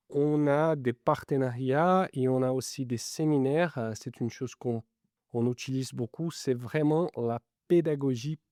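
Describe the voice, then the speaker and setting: Softly spoken man with an Italian-Brazilian accent. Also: mid-range voice, no room sound, clean studio sound. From Italy and Brazil. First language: not French. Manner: hesitant, many fillers. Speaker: business school lecturer-researcher. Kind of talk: interview answers.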